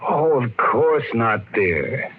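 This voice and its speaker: Noisy old radio husband. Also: male voice, medium pitch, big pitch swings, very slow, roomy sound, narrow, tinny audio. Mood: placating. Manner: patronizing, soothing, firm. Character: placating, reasonable husband and stern father. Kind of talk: 1950s radio drama acting.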